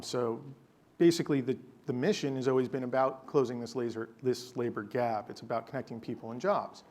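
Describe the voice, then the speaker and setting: Man giving an earnest corporate pitch. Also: darkish tone. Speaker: company representative panelist. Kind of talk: conference panel talk. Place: conference stage.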